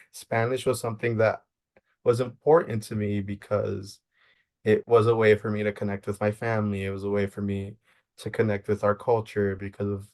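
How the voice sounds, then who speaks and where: A young man from Maryland, a social worker, giving earnest, measured interview answers and thinking aloud as he goes. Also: fairly low pitch, vocal fry, darkish tone. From Maryland, United States.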